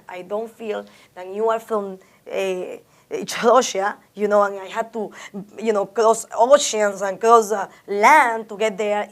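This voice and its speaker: A woman from the Dominican Republic with a Dominican Spanish accent, a Spanish speaker being interviewed about her art, reflective and often restarting her sentences. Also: medium pitch. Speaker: artist interviewee. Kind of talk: oral history interview.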